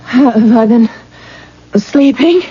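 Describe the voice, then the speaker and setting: An actress playing a possessed young bride in a radio drama, a daughter by turns weary, eerie and defiant. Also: female voice, on the high side, expressive, takes it slowly, mellow, slightly rough, exaggerated voice. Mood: weary, hollow. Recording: dull, noisy old radio broadcast.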